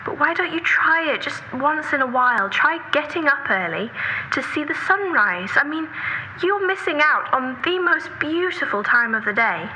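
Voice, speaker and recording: A woman plays an earnest sister in an audio drama, with a very bright, forward voice that sits a little high. She is orderly and nagging, pressing her point with exasperated care. There is faint noise behind her. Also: lively intonation, moderate pace, heavily acted, rough recording. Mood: pleading. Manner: persistent, pressing, lecturing. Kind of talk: naturalistic audio drama dialogue.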